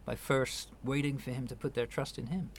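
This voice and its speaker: A man's voice: medium pitch, fairly even intonation, moderate pace, a little hiss or hum, lightly acted. An actor in a radio play voicing an earnest, patient man who speaks hesitantly, with small stammers. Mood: earnest, explaining. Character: earnest, patient man gently persuading a younger relative. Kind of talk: naturalistic radio play acting.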